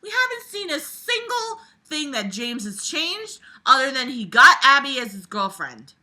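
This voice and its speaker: A person reading a book aloud and breaking off into sarcastic, profane, animated commentary in an exasperated, casual way. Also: sits high, a little slow. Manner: animated, breaks into mocking imitations.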